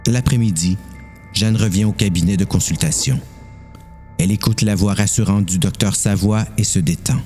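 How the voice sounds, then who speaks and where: Guy narrating a story, dark mellow voice, bit low, faint music behind him. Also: steady tone, moderate pace. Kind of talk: scripted story narration for amateur audio drama.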